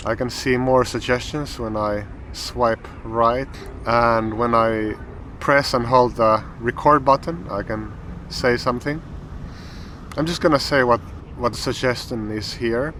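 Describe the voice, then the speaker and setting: Slow, calm Finnish-accented man. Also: mid-range voice, slight background noise. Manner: calm, thinking aloud, with pauses and fillers. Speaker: language teacher and channel host. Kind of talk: explaining an app while trying it.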